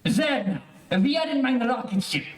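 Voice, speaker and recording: Echoey, high-pitched mad scientist played by a woman. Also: lively intonation, natural voice. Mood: menacing, crazed. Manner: theatrical, deliberate, menacing. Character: mad, fanatical scientist with a cackling laugh. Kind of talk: old-time radio serial acting. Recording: echoey stage, distant mic, live stream.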